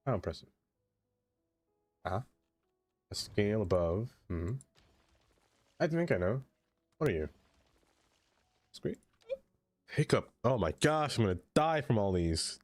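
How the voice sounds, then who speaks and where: Stuffed-up guy streaming, chatty and excitable, low voice, dry close sound. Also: a little slow, long pauses, no room sound, faint music behind. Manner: chatty, excitable, greets viewers by name. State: stuffed-up nose. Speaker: game streamer. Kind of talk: casual livestream chat, reading chat messages.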